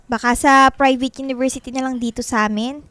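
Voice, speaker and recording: A woman's voice: high voice, fairly even intonation, brisk, a bit bright, no room sound. An actress playing a clingy, fussing middle-aged mother in a Filipino radio drama, motherly and full of instructions.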